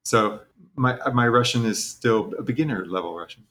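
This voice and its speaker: A man's voice: mid-range voice, normal speed, a bit bright. A man from Texas talking casually as an interview guest, in a self-deprecating way. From Texas, United States.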